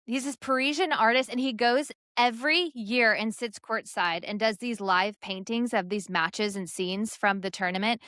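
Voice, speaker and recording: A woman's voice: fairly high pitch, pushed and bright, no room sound. Casual woman podcast co-host, dry close sound. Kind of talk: casual chat about sports and lifestyle.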